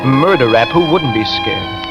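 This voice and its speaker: Nervous working-class truck driver, music underneath. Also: male voice, a little high, huge pitch swings, a little slow, muffled recording. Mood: wry, uneasy narration. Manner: colloquial, defensive. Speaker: actor in a radio suspense drama. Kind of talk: 1950s radio drama acting, first-person narration. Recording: vintage radio recording with music underneath.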